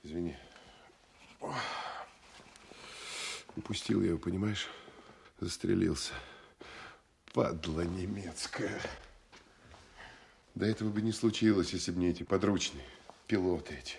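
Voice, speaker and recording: A tough, bitter counterintelligence field officer in a radio drama. His voice sits fairly low, and he speaks in terse, blunt, colloquial phrases. There is some noise on the archival recording. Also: normal speed, creaky, pauses often, a little mellow. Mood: bitter, dejected. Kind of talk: Russian radio drama acting.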